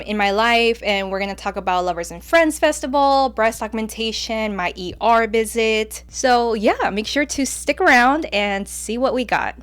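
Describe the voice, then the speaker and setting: A woman in her late twenties whose first language is Spanish, with a light Mexican accent, hosting her own podcast in a casual, chatty way full of fillers. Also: mid-range voice. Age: 20s. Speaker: podcast host. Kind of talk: casual solo podcast chat.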